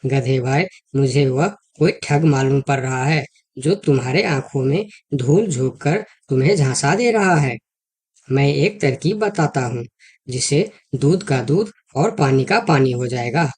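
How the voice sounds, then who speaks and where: A man's voice: medium pitch, expressive, a little slow, darkish tone. A man voicing a cautious, caring deer who advises a friend in a children's cartoon story. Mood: firm, advising. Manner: concerned, advising.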